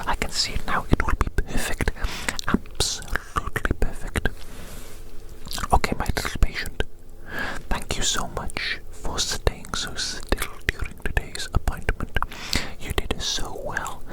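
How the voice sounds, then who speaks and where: A woman whispering in a breathy, unvoiced murmur for an ASMR roleplay, playing a fussy, eccentric doctor who repeats phrases. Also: very high-pitched, poor audio. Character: fussy, eccentric scientist-doctor measuring a little patient.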